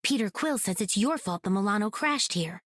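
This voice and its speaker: A perceptive alien heroine in a video game. Her voice is husky and expressive, calm and formal yet playful. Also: medium pitch, normal speed, dry recording, studio quality. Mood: teasing. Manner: formal, calm, gently teasing.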